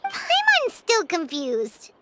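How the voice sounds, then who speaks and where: A woman voicing a small, excitable, easily confused childlike fairy companion in a video game. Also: slow pace, very noisy, exaggerated voice. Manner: squeaky, speaks of self in third person. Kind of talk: video game character acting.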